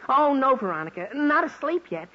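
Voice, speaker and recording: An actor playing an eager, gawky teenage son, earnest and gee-whiz, in a 1940s radio comedy. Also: male voice, very high-pitched, very animated, brisk. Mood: lovestruck eagerness. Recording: noisy, muffled old 1940s radio recording.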